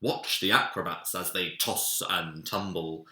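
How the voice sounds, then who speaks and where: A man voicing a small excited biscuit bear who announces a circus like a showy ringmaster in a storybook. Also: measured pace, reverberant, exaggerated voice. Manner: booming, theatrical barker delivery.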